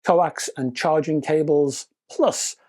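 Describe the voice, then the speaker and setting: A man's voice: on the high side, takes it slowly, a little mellow. A British man narrating a scripted product review with chatty, wry asides and emphatic phrasing.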